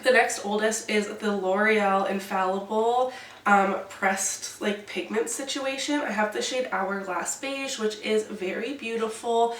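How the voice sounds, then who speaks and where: A woman's voice: mid-range voice, unhurried. A young woman with a stuffy, congested voice from a cold, chatting casually through a makeup update vlog. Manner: chatty, detailed product talk. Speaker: beauty vlogger. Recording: echoey room, distant mic, faint hiss.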